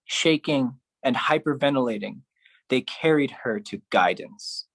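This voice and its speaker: A man performing a theatrical spoken-word poetry monologue, phrased deliberately and dramatically, with shifting intensity. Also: mid-range voice, gravelly, dry recording, poor audio.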